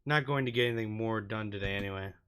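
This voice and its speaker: A man's voice: on the low side, quick pace, over music, voice pushed down, close to an everyday voice. A man voicing a cold, bored young female art student from a visual novel, curt and sardonic. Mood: annoyed. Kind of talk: visual novel character read aloud.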